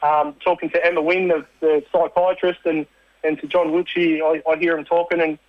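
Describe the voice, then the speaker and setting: A man's voice: on the high side, little pitch movement, normal speed, no echo, lo-fi sound. A man with a blokey, rambling way of talking, giving an organiser's report on casual radio. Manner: blokey, rambling, many fillers.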